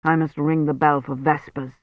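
A woman voicing an exhausted, stubborn, sick village priest in an audiobook, speaking slowly and formally. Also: deep voice, level tone, no echo, narrow, tinny audio, voice pushed down, close to an everyday voice. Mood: stubborn, grim. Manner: slow, weary, drawn-out, formal old-fashioned speech.

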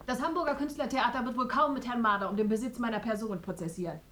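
A woman's voice: fairly high pitch, fast talker, fairly bright tone, close to an everyday voice. An actress in a German radio drama playing a proud, headstrong woman of the theatre, clipped and theatrical. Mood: defiant. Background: music.

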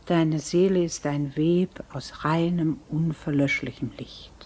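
A woman's voice: sits low, fairly even intonation, slow pace, a little mellow. An actress playing a pious, stern peasant foster mother in a radio play, solemn and urgent as if chanting prayers. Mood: solemn, praying. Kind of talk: classic German radio play acting.

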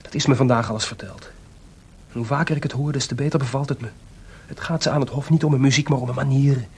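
An actor playing an impetuous, wry and defiant composer in a Dutch radio drama. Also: male voice, fairly even intonation, quick pace. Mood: defiant, scornful. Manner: wry, informal, headstrong. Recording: clearly noisy, rumbling archive radio recording.

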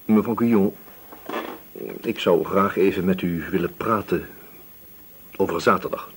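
An actor playing a calm police inspector in a radio drama, questioning politely and in measured phrases. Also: male voice, low-pitched, a little hiss or hum. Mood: polite, gentle.

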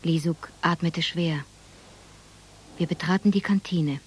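An actress playing a reflective woman recalling her childhood, narrating a radio drama in measured, plain sentences. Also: lowish voice, steady tone, slight background noise, lightly acted, poor audio.

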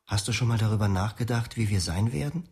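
Radio drama lead: troubled young husband, guilt-ridden, confessional, lower register. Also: male voice, fast talker, a little mellow, slightly rough. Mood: brooding. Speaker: actor, first-person narrator. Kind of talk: literary radio drama narration and dialogue.

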